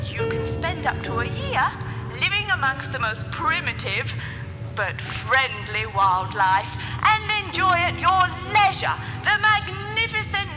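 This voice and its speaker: An actress playing a holiday camp announcer, reading scripted promotional narration in measured, polished sales patter. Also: female voice, high-pitched, in a large hall, poor audio.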